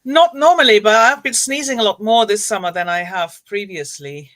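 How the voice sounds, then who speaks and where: A woman from Germany, a life coach, guesting on a casual live chat, cheerful and quick to laugh. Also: medium pitch, a bit bright, dry recording.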